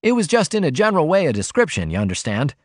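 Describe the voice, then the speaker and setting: A man's voice: fairly high pitch, big pitch swings, brisk, no echo, clean studio sound, pitched above the performer's own voice. A man voicing a brash, self-important young cub reporter in a storybook reading, with an airy, eager manner. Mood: airy, self-assured. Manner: eager, airy, indignant when crossed.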